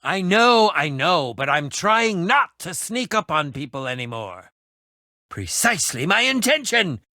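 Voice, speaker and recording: A man's voice: high voice, very animated, a little slow, sharp tone, no echo, clean studio sound, full character voice. An actor playing an eccentric, self-important man who fancies himself a ninja in a video game, speaking in a pompous, dramatic, wordy manner. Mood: eager, insistent. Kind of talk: animated video game voice acting.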